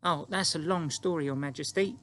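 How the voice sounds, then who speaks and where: A man's voice: on the high side, fairly quick, a bit bright, voice pushed up, close to an everyday voice. A man voicing a polite, earnest little girl in an audiobook, respectful and a little timid. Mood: calm, eager. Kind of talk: audiobook character acting.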